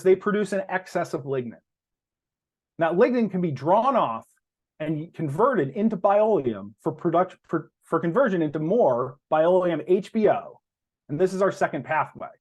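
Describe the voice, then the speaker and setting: A man speaks as a company executive presenting to investors. His voice sits a little high and moves with very animated intonation. He explains in a deliberate way, leaning on the key terms.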